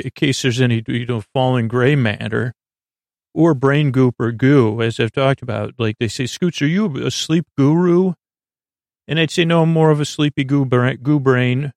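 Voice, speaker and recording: A man hosting a silly bedtime podcast, talking in a meandering, halting way with frequent stammers and tangents. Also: mid-range voice, gravelly, no echo, clean studio sound. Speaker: podcast host.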